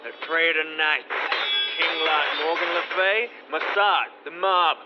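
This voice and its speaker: An actor playing a defiant, petulant young prince in a radio drama, talking in a sneering, taunting way. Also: male voice, fairly high pitch, measured pace, lo-fi sound, heavy background noise, lightly acted. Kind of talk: rhyming verse radio drama.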